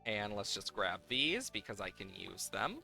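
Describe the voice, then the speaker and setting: A man's voice: on the low side, sing-song, unhurried, vocal fry, pushed and bright. A man giving casual, playful live commentary on a game, thinking aloud as he plays, with game music behind him. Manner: playful, hums and makes sound effects while talking.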